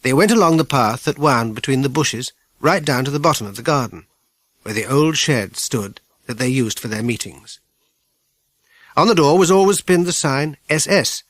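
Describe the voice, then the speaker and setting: A man with a British accent reading a children's audiobook in measured, clearly phrased storytelling. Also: medium pitch, expressive, a bit bright, no room sound. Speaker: audiobook narrator. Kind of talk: scripted children's story narration.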